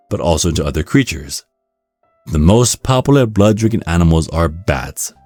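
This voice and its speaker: Man, very deep voice, measured ASMR narration, wry, bone-dry recording, faint music. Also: a little mellow, dry recording. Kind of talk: ASMR scripted narration, reading a guide with dry asides.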